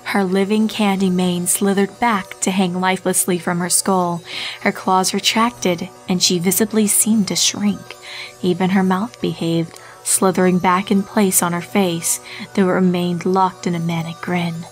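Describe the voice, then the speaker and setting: A woman's voice: on the low side, little pitch movement, a little slow, poor audio. A woman reading a horror story as a scripted narrator. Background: music.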